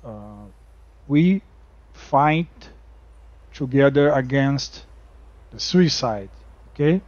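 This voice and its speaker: A Brazilian man with a Brazilian Portuguese accent, chatting casually over gameplay, halting, with many fillers and restarts. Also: fairly high pitch, no echo, lo-fi sound. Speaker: gaming YouTuber. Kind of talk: casual game commentary.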